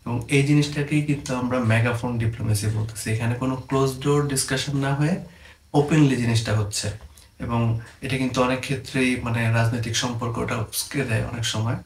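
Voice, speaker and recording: A man's voice: mid-range voice, steady tone, quick pace, gravelly. A man with a Bangladeshi accent gives an informal explainer lesson, mixing English terms into his explanations. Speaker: presenter explaining diplomatic terms. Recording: echoey room, faint background noise.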